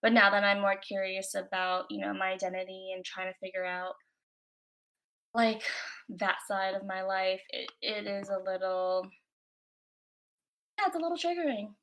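A woman's voice: medium pitch, steady tone, moderate pace, pauses often, fairly bright tone. A young American woman from Florida, a guest in a casual interview, talking loosely with lots of "like" and "you know". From United States. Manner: chatty, many "like" and "you know" fillers. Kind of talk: casual conversation.

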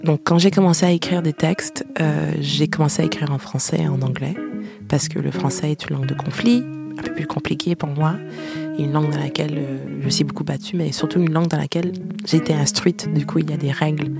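Québécois woman in her 30s, gravelly grain, chatty with frequent laughs, music playing behind. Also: low-pitched, a little fast. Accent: Québécois French. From Quebec, Canada. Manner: chatty, laughs often, hums tunes. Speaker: singer-songwriter interviewee. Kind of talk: casual recounting of memories.

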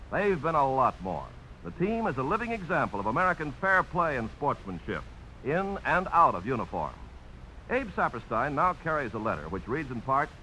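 Faint hiss sits behind a 1950s radio announcer. The man has a bright, forceful voice with lively swings, reading his script earnestly and with polish. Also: mid-range voice, low-quality recording. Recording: faintly hissy old radio broadcast.

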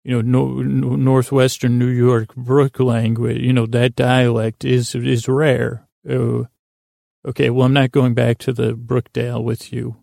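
A man hosting a podcast in a halting, silly, absent-minded monologue, full of digressions, pauses and repeated words. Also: mid-range voice, completely flat delivery, creaky, warm tone, no room sound, clean studio sound. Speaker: podcast host. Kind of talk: rambling whimsical monologue meant to distract listeners.

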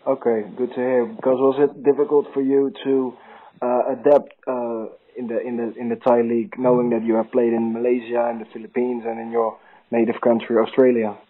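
A man interviewing a guest, asking halting questions with many repeated words and restarts. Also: medium pitch, little pitch movement. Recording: tinny phone-line audio.

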